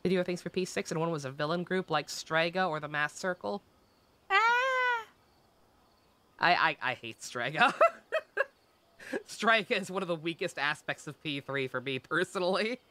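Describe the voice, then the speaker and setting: Bright, animated, sarcastic game streamer. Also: medium pitch, normal speed. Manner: sarcastic, joking, sweary. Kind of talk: casual live-stream chat and game commentary.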